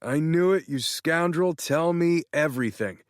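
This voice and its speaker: A man's voice: fairly high pitch, a little slow, no room sound, clean studio sound, voice pushed up, natural voice. A man playing Tanner, a gushing flamboyant friend. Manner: theatrical, gushing.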